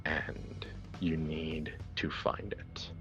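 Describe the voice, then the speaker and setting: A man narrating a horror tabletop game as game master, theatrical and deliberate with long pauses, in dull lo-fi sound. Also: extremely low pitch, level tone, fairly bright tone, whispered, faint music behind.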